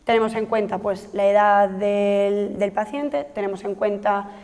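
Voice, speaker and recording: A woman's voice: mid-range voice, fairly even intonation, unhurried, darkish tone. A woman from explaining a technical project to trainees, with frequent fillers and self-corrections. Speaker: researcher presenting a project. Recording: long hall reverb.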